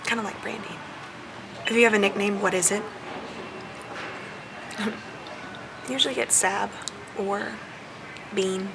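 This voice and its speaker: A young American woman with a lot of creak in her voice talks casually, like a vlogger answering questions for her viewers. She chews gum as she goes. Clear ambient noise sits behind her. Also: mid-range voice, fairly even intonation, slow, pauses often. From southern United States. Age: young adult. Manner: chewing gum while talking.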